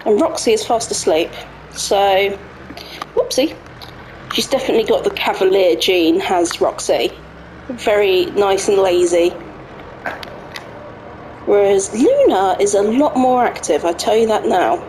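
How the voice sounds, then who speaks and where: Croaky-voiced woman chatting over gameplay. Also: medium pitch, lively intonation, measured pace, long pauses, darkish tone, a little hiss or hum, poor audio. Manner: chatty, talks to herself while playing. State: slightly croaky voice. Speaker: gaming channel host. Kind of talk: casual let's-play commentary. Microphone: home desk microphone.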